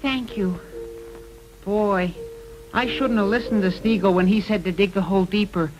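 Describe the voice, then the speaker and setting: A man's voice: high-pitched, moderate pace, highs cut off, lightly acted. A man voicing a wavering, easily led little boy puppet in a children's educational show, childlike and unsure. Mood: contrite. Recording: old 1960s film soundtrack with music.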